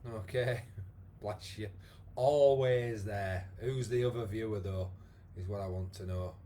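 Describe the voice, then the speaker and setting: A man with a croaky voice, leading a live online exercise class with many fillers. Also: on the low side, a little slow, dark tone, a little hiss or hum. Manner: chatty, encouraging, lots of fillers. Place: home room with wall and bar. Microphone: device camera, moves away at times.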